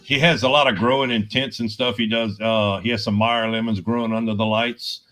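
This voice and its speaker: A man's voice: on the low side, steady tone, a little fast, fairly bright tone. A man in his forties chatting enthusiastically and gratefully on a casual group video call. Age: 40s.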